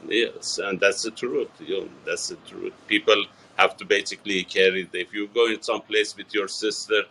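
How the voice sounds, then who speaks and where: A man, answering a TV reporter's questions in a halting, earnest way with many fillers and restarts. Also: fairly low pitch, steady tone, gravelly, sharp tone, a little hiss or hum, rough recording. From Iran. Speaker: interviewee. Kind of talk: TV news interview.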